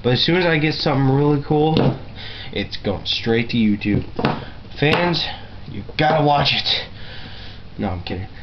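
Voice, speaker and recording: A man chatting casually to camera as an amateur video blogger, rambling and halting, with a self-deprecating manner. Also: mid-range voice, animated. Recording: dull lo-fi webcam-style sound, faint noise.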